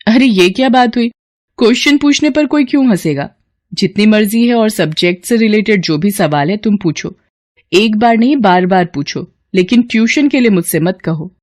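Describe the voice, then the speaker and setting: Woman playing a brisk, scolding lady professor. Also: lively intonation, darkish tone, natural voice. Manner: raised pitch, brisk scolding teacher tone. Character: young woman economics professor, firm but kind.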